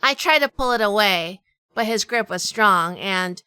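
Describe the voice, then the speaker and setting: A woman acting a tough, wary noir heroine who tells her own crime story in an audio drama. Her voice is bright and very clear, recorded close and dry. She delivers scripted narration with hard-boiled self-possession. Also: mid-range voice, animated, a little slow, no room sound. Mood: wary, cool.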